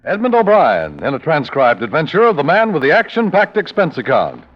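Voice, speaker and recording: A man's voice: a little high, huge pitch swings, a little fast. A man giving formal announcements as an old-time radio announcer. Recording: muffled old radio broadcast with music.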